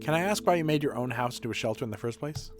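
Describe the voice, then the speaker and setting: A man reading game dialogue as a blunt, skeptical male doctor with a brisk, questioning manner. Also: mid-range voice, expressive, natural voice. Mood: inquiring. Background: music.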